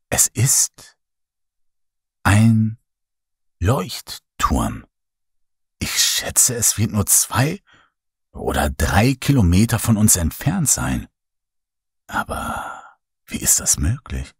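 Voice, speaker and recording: A man narrating a first-person horror story as an audiobook, in a slow, hushed, dramatic way. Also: lowish voice, very animated, slightly rough, no room sound, studio quality.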